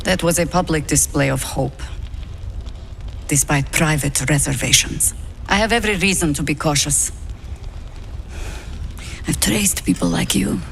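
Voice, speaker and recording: An aged druid war leader, shrewd and wary. The voice sits fairly low, formal and commanding, as in a fantasy game, with faint ambient noise behind. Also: female voice, animated, gravelly, a bit bright, heavily acted. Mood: wary, grave. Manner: measured, formal, authoritative. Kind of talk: fantasy video game dialogue.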